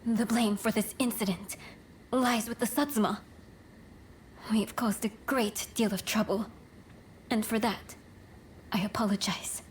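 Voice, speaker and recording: Female actor, dignified princess, formal, stern yet gracious, unhurried delivery, video game drama, faint background ambience. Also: on the high side, fairly even intonation, a little hiss or hum, natural voice, low-quality recording. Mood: composed, gracious. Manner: formal, composed.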